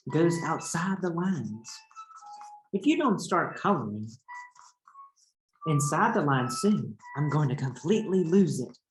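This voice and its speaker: Man voicing a fussy, exasperated neat-freak crayon, slow. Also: fairly high pitch. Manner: exasperated, prissy. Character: fussy, very neat male purple crayon, near breaking point. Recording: roomy echo with background noise.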